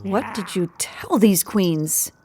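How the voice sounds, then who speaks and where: A woman's voice: medium pitch, slow, a little mellow, slight background noise, close to an everyday voice. A woman playing a polite, pampered female house cat in an audio drama, earnest and unsure of herself. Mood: questioning.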